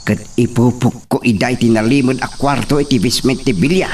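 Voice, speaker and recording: A man's voice: medium pitch, a little fast, a little mellow, close to an everyday voice. A man with an Ilocano accent playing a ruthless, scheming, hot-tempered young landowner's son in an audio drama, menacing and domineering. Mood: coldly menacing. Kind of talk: radio-style Ilocano drama acting. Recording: distant mic, noisy, chirping crickets behind.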